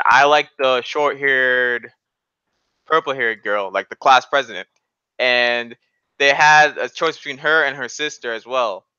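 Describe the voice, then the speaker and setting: A young man with a forceful, clear voice, arguing casually like he's among friends. He is slangy and opinionated. The recording is close and dry, though a little dull, like an online voice chat. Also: mid-range voice, no echo. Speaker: podcast co-host.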